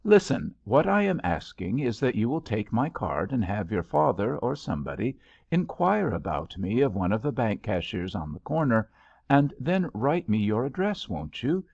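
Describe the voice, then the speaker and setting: Man reading as an impetuous young suitor, animated and earnest. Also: mid-range voice, normal speed, creaky, dry recording. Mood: urgent, earnest. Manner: urgent, pleading, courtly. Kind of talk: audiobook character dialogue. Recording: slightly dull, lo-fi, dry audio.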